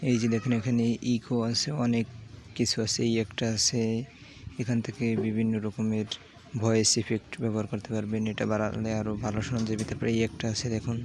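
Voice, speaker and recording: A man hosting a casual app tutorial, explaining haltingly step by step. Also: on the low side, monotone, a little hiss or hum. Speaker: tutorial host.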